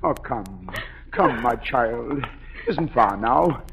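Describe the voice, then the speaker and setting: A man's voice: medium pitch, expressive, slow, gravelly, a bit bright, heavily acted. An actor playing an obsessed masked madman in a 1940s radio drama, coaxing and pleading with feverish intensity. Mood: intense, urging. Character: obsessed, masked madman luring a young woman underground. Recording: noisy old 1940s radio broadcast.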